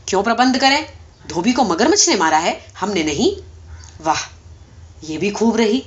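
A woman's voice: medium pitch, lively intonation, lo-fi sound, close to an everyday voice. A woman voicing an irritable, callous male office clerk in a story reading, brusque and dismissive.

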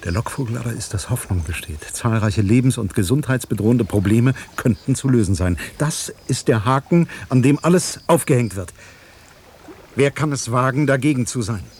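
Male actor as brooding investigative journalist, medium pitch, clipped questioning, radio play style, faint background noise. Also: lively intonation, normal speed, darkish tone, natural voice. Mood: urgent, brooding. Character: frustrated, skeptical, probing male investigative journalist. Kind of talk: German radio play acting.

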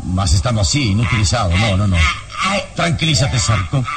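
An actor playing a brave, calm, protective adventure hero in a vintage radio serial, with a solemn, deliberate delivery. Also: male voice, on the low side, heavy background noise, close to an everyday voice. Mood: urgent, soothing. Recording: radio serial, distant, music behind.

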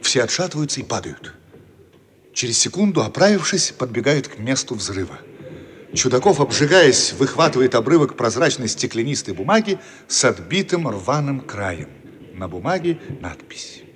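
A man's voice: medium pitch, sing-song, normal speed, a little hiss or hum. A man narrating a radio play, reading stage directions in a vivid, theatrical scripted style. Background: prominent music.

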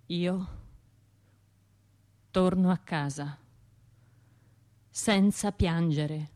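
An actress with a Tuscan accent playing a wounded, self-reproaching bombing survivor in an intimate radio drama monologue, halting and colloquial. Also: female voice, on the low side, darkish tone, heavily acted. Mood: pained, pleading. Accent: Tuscan Italian. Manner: halting, colloquial, shifting between murmurs and outbursts.

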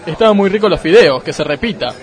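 A man playing a dim, repetitive football club president in a comedic radio sketch, speaking deadpan. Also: sits high, fast, over loud music. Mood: pompous. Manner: deadpan, repeats himself.

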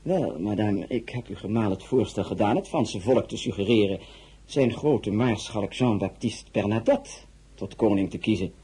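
An actor playing a suave, imperious tsar in a radio drama, speaking formally and in measured phrases with a husky voice. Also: male voice, on the low side, warm tone. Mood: probing, puzzled. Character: suave, imperious Tsar of Russia, courtly and probing.

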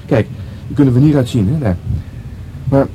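Very mellow, earnest young detective, acted by a man. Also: mid-range voice, normal speed, a little hiss or hum, natural voice, rough recording. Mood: speculating. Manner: tentative, repeats words while reasoning. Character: younger male police detective, deferential, earnest and hesitant. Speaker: actor in a radio play. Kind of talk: Dutch radio drama dialogue.

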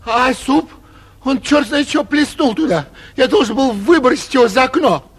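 A man playing a vain, petulant, cowardly young gentleman in a 1940s theatrical radio play, whining and indignant in a husky voice, with long reverb on the old recording. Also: extremely high pitch, animated, moderate pace, heavily acted. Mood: defensive whining. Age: young adult.

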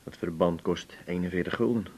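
A very low, husky voice of a weary travelling salesman in his fifties. He is gullible and plain-spoken, halting through short sentences in a naturalistic radio drama. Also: darkish tone. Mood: earnest, sheepish. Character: gullible, weary 56-year-old male travelling glue salesman.

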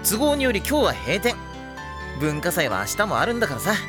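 A man's voice: high-pitched, wildly swooping pitch, brisk. An actor, a man, playing a caring teenage schoolboy in an anime audio drama, with a casual, blunt but kind manner. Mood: upbeat. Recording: music bed under the voice. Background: music.

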